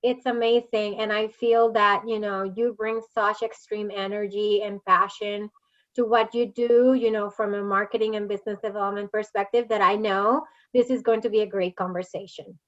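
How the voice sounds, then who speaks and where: A woman's voice: mid-range voice, flat delivery, normal speed, muffled recording. A woman with a Puerto Rican Spanish accent, Spanish her first language, hosting an interview in a chatty way and laughing easily. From Puerto Rico. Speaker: podcast host. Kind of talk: conversational interview.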